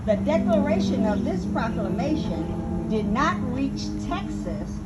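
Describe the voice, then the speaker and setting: Slow-reading woman in very loud noise. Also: a little high, sing-song. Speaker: narrator of a dramatic reading. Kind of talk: scripted historical narration, read aloud. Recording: dull, very noisy live recording.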